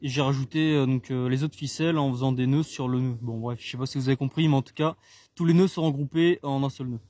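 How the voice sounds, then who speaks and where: A man's voice: mid-range voice, steady tone, quick pace, warm tone, no room sound. A man from France casually explaining, step by step, how he sets up his portable antenna outdoors, stalling often with fillers and restarts. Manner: hesitant, many fillers and restarts. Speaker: CB radio hobbyist demonstrating his gear. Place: outdoors on open ground.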